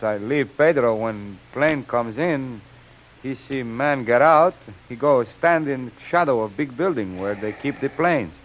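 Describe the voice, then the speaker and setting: A man's voice: mid-range voice, close to an everyday voice. On a dull vintage radio recording, a man acts a timid henchman. He talks in broken, with plodding, simple phrases and a nervous, submissive manner. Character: timid, frightened Mexican henchman. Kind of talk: old-time radio drama acting.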